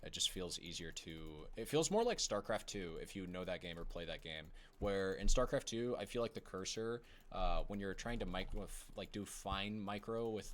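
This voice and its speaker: A man's voice: fairly low pitch, a bit bright. A young man talking casually while playing a game, rambling and apologetic, with lots of fillers and restarts and a slightly whiny tone. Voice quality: self-described whiny voice. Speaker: gaming video creator.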